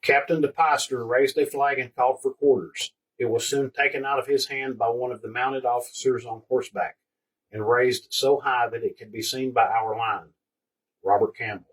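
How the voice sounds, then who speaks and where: A man with a slightly rough, husky voice reads a historical account. He is recorded a little away from the microphone. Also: mid-range voice, steady tone, moderate pace. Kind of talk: scripted reading of a first-hand account. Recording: voice distant from microphone.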